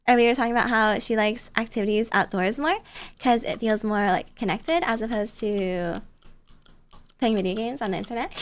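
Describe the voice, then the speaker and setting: A woman with a high voice chats casually on a livestream, telling stories as she goes. Her delivery is bubbly and playful, with a cutesy touch. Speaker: streamer. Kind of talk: casual storytelling chat on a livestream.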